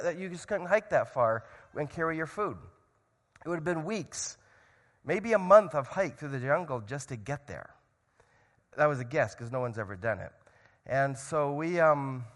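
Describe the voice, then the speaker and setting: A man speaking as a missionary at a church, telling his story earnestly and with growing excitement. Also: a little high, big-room sound. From grew up in Indonesia. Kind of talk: church missionary talk with prayer.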